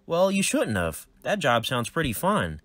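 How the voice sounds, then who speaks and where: A man puts on a squeaky, excitable teenage boy, nerdy and eager, firing off high questions. The recording is close and dry, with no room sound. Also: unhurried, dry recording, heavily acted. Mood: surprised. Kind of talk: homemade comedy skit.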